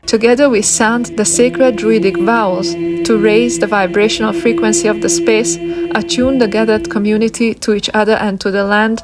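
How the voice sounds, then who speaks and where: A woman's voice: medium pitch, fairly even intonation, moderate pace. A woman reading scripted narration. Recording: loud music and crowd behind. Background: music, crowd.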